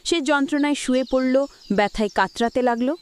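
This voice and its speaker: A woman's voice: sits high, a little fast, a little hiss or hum. A woman narrating a scripted children's story as the storyteller.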